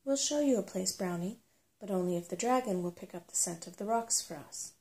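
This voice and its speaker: Woman reading as a gruff, creaky mountain dwarf. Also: medium pitch, expressive, a little fast, darkish tone, close to an everyday voice. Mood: self-important. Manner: gruff, grunting. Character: gruff, wary male mountain dwarf, self-important and superstitious. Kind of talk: storybook character reading.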